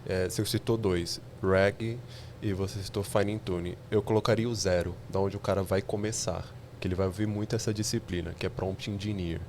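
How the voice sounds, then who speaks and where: A man's voice: deep voice, fairly even intonation, a little fast, a little mellow, a little hiss or hum. A man, a podcast guest explaining technical ideas step by step with examples.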